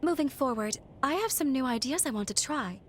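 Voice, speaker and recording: An actress playing an eccentric, eager young female scientist in a video game, with a playful, theatrical and expressive delivery, in anime-style acting. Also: sits high, fairly quick, a little hiss or hum. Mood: playful, intrigued. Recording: voice over background game music.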